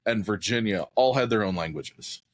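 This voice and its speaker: A man co-hosts a casual podcast in a fairly low voice. He explains his ideas at length and keeps checking in with his listeners. The recording is dry and close. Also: normal speed, no echo. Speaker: podcast co-host. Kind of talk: casual chat.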